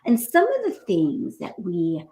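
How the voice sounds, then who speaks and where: Woman host from New York, lively spiritual talk show, keeps asking "right?" and laughing. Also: a little slow. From New York, USA. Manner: animated, tags lines with "right?", laughs often; acts out quoted voices in a high pitch. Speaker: host, doctor. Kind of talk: casual spiritual talk to live viewers.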